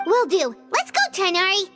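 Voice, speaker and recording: A woman voicing a small, eager fairy companion in a video game, with a squeaky childlike voice and perky, excitable delivery. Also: normal speed, faint music behind.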